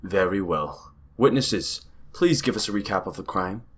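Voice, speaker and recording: An actor playing a stern, formal judge in an audio drama, solemn and deliberate. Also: male voice, low voice, animated, a bit bright, husky, exaggerated voice.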